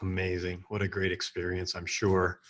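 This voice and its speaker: A man's voice: deep voice, monotone, normal speed, fairly bright tone. A man hosting an interview podcast, asking questions politely and responding with brief affirmations. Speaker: podcast host.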